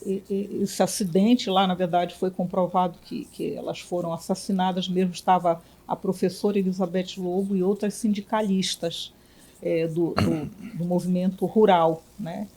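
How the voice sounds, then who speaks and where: A woman from Belém, a physician and union director, answering interview questions in a reflective way with many pauses and restarts. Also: lowish voice, level tone, dark tone. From Belém, Brazil.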